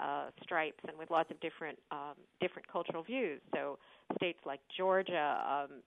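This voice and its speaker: A woman's voice: deep voice, noisy background. A woman scientist answering callers on a radio call-in show, earnest, with many fillers and restarts. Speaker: scientist guest. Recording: tinny phone-like radio line.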